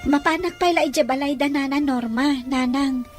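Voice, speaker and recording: A woman's voice: high-pitched, moderate pace, soft background music, natural voice. A woman playing a sweet, respectful young daughter in an Ilocano-accented audio drama. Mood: cheerful, respectful.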